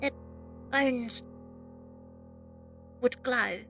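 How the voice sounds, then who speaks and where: Woman acting bold young match girl, high voice. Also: very slow, creaky, heavily acted. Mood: somber narration. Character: defiant young Victorian match girl, former circus performer. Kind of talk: fan audio drama acting and first-person narration. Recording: music behind the voice.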